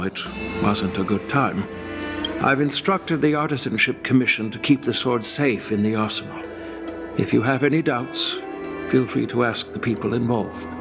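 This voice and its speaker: An elderly, caring grandfather figure in a video game, played by a male actor. He speaks with grave, formal dignity in a mid-pitched voice. Music plays clearly behind him. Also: natural voice. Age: older. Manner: measured, formal, solemn. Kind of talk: scripted game dialogue. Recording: background music under the voice.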